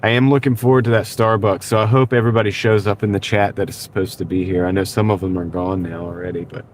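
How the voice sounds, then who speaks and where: A man with a congested, slightly rough voice, chatting casually with his viewers during a livestream and chuckling as he reads their comments. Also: fairly low pitch, level tone, quick pace, slight background noise. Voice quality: nasal from congestion. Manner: rambling, reads chat aloud, chuckles often. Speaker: livestream host. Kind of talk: casual live stream chat with viewers. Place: in his car at night. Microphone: wireless clip-on mic.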